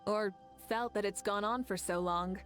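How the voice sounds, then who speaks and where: A woman voicing a confident, gracious goddess leader who speaks calmly and laughs often, in video game dialogue. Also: mid-range voice, close to an everyday voice. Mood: assured. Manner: calm, measured, laughs often. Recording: game audio with soft music underneath.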